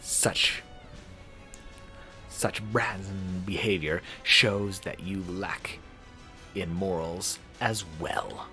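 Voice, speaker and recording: A man's voice: low-pitched, steady tone, very slow, long pauses, sharp tone, soft background music, exaggerated voice. A man voicing a proud female royal servant in a visual novel, formal and scolding. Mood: indignant, scolding. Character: proud, indignant female royal servant defending her princess.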